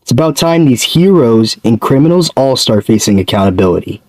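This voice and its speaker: A man acting as an ordinary male city resident in an audio drama. His voice is mid-pitched and recorded dry and close. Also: animated, fairly quick, a little mellow, no echo, lightly acted. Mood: firm.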